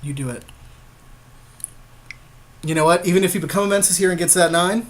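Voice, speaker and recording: A man's voice: high voice, a little fast, a bit bright. A man co-hosting a podcast, bantering casually and profanely with colloquial jokes while commentating on a card game. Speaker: podcast co-host playing an online card game.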